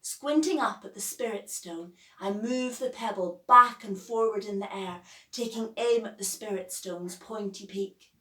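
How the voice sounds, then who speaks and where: A woman reading a children's story aloud as its author, with expressive, measured and deliberate phrasing. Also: medium pitch. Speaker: author reading own novel. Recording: echoey room, distant from mic.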